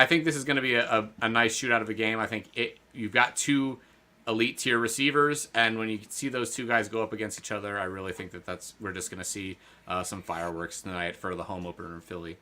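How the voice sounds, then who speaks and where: A man's voice: fairly low pitch, steady tone, fairly quick, pushed and bright, a little hiss or hum. A man co-hosting a casual sports betting show, talking loosely with lots of fillers and restarts. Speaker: podcast co-host.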